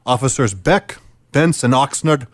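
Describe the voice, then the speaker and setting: A man's voice: medium pitch, lively intonation, a little slow, lightly acted. A man playing a blustering, exasperated police marshal in an old-fashioned radio play, formal and commanding.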